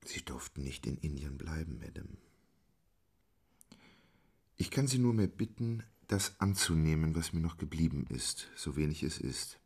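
An actor playing a reserved, dignified English gentleman in a German audio drama, speaking formally and with restraint. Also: very deep voice, animated, measured pace, slightly rough, exaggerated voice. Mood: resigned.

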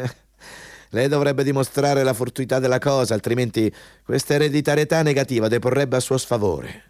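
An actor in an Italian radio play playing a ruthless, patronizing company interviewer who talks in a hectoring, insistent way. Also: male voice, mid-range voice, darkish tone, no echo, clean studio sound, natural voice. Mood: condescending.

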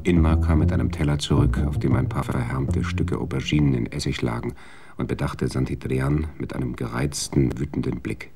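Casting: very deep, gravelly male narrator, dry and measured, faint music bed. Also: steady tone, mellow. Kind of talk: scripted narration.

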